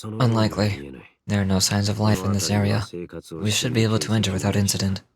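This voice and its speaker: A woman voicing a brooding, grave man from a fantasy game, with a low, grainy voice and terse, solemn delivery. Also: fairly even intonation, fast talker, crowd noise. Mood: grave, measured. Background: game music.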